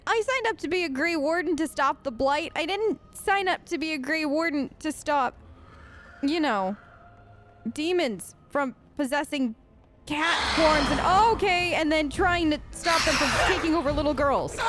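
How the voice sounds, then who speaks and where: A woman's voice: high-pitched, lively intonation, measured pace, noisy background. A woman doing improvised role-play commentary over a video game in a sassy, sarcastic, conversational way. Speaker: gaming video host.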